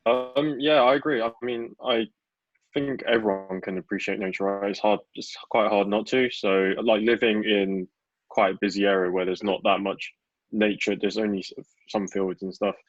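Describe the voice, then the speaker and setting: Young man, low voice, lo-fi audio. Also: steady tone, moderate pace, no room sound. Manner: casual, uses fillers. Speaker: panel speaker. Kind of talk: casual talk. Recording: dull lo-fi audio.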